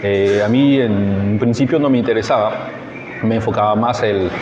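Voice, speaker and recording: A man with a French accent and French as his native language, a French teacher answering informally in a halting way, searching for words. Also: on the low side. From France. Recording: muffled, echoey outdoor recording with crowd noise.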